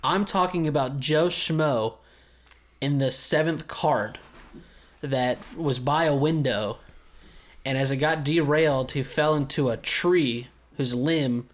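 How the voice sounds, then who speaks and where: A man with a slightly raised voice co-hosts a relaxed anime review chat. He retells the episode with lively energy and joking asides. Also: on the high side, slow. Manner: animated recapping, puts on mock villain voices. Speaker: podcast co-host. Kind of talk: casual anime recap chat.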